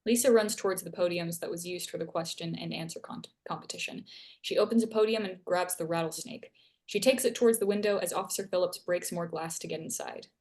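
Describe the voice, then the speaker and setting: A woman with a somewhat low voice reads stage directions aloud. It is scripted narration for a live table read, describing each action and scene heading in turn. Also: a little fast, a little mellow.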